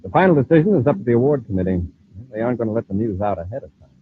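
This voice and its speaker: A man playing a calm, authoritative space commander who reasons aloud and gives crisp orders, in 1950s radio adventure style. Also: medium pitch, rapid-fire, lightly acted. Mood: relaxed, thoughtful. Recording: muffled, tinny old radio recording, noisy.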